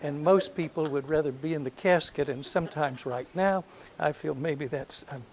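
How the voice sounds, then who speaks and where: An older man from North Alabama with a soft voice, giving a reflective lecture to an audience, hesitant and full of ums, with gentle self-deprecating humor. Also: on the high side, normal speed, slight background noise. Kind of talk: last lecture, reminiscence. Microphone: handheld or podium microphone.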